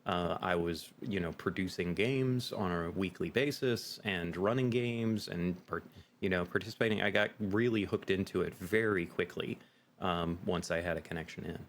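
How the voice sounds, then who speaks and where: Male podcast guest, very low register, reflective and halting, with some faint background noise. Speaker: podcast guest, actual-play game master. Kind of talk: casual interview conversation.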